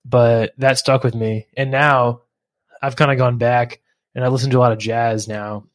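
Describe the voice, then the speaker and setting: A man from the Philadelphia area in casual conversation, easygoing and relaxed, tossing in slang like "dude" and "man.". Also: mid-range voice, moderate pace, no echo.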